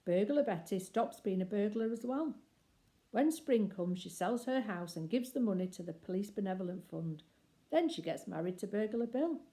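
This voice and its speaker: An older woman reading a picture book aloud as expressive children's story narration. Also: medium pitch, moderate pace.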